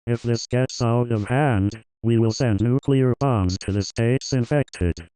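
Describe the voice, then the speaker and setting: A man's voice: mid-range voice, takes it slowly, mellow, smooth, low-quality recording. A man reading a scripted news bulletin as an anchor.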